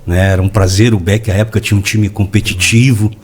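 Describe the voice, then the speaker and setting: A man's voice: on the low side, fairly even intonation, fast talker. A man hosting a casual podcast interview, friendly and admiring, often ending his remarks with tag questions. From Blumenau, southern Brazil. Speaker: podcast host.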